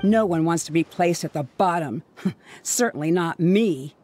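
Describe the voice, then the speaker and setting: A proud young researcher speaks with mocking scorn in a lowish voice, played by a woman. Game music plays clearly behind her. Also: unhurried, a little mellow, natural voice. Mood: dismissive. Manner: mocking, scornful, laughs dryly.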